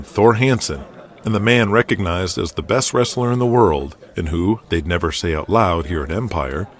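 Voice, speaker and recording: A man narrating a scripted audio drama as its storyteller. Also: low voice. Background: music.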